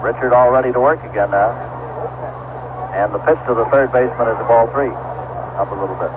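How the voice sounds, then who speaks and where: A man's voice: mid-range voice, brisk. An American man calling baseball play-by-play live on a vintage radio broadcast. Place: outdoor ballpark broadcast. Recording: vintage radio broadcast, tinny narrow band, loud noise.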